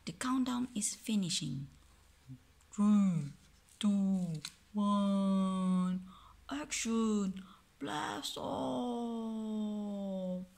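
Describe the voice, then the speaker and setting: A man with a Malaysian accent reading a picture book aloud to a child at home, soft and often half-whispered, with playful sound effects, recorded on a phone. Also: sits high, lively intonation, drawn-out words, gravelly, long pauses.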